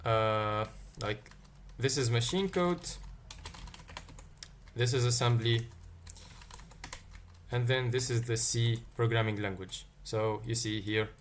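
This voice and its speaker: A man's voice: medium pitch, takes it slowly, long pauses, slight background noise. A man from Tunisia, teaching a live-streamed coding lesson. He thinks aloud and answers chat questions as they come in. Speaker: livestream tech teacher.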